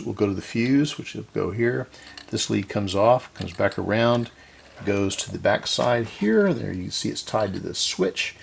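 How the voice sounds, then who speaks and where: A man hosting a hands-on repair video, talking casually and haltingly as he thinks aloud, with folksy self-deprecating asides. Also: fairly low pitch, creaky, slight background noise. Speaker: host of a radio repair video series.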